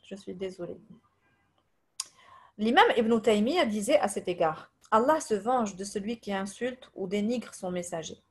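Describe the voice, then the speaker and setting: A woman from Tunisia whose first language is Arabic, giving a religious lesson in French, hesitating often with fillers, reading translations and reciting verses quickly. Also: mid-range voice, expressive, husky. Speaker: religious teacher. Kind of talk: religious lesson over voice messages.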